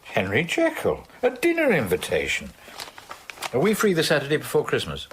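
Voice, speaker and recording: Loyal, concerned British gentleman, Victorian radio play. Polite, with highly mobile pitch and slight background noise. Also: moderate pace. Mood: pleasantly surprised. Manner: courteous, expressive. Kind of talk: British radio drama acting.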